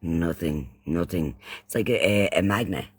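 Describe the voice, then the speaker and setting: A man's voice: low voice, moderate pace, slightly rough, no echo. Irish man chatting casually, dry close sound. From Ireland. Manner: repeats phrases, uses pet names. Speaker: livestream host. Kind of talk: casual livestream chat, greeting viewers.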